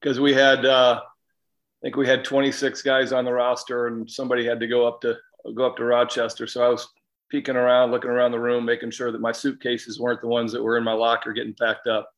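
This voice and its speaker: A man's voice: medium pitch, monotone, fast talker, low-quality recording. A middle-aged man with an American accent, co-hosting and reminiscing in casual chat. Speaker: podcast co-host, former professional pitcher.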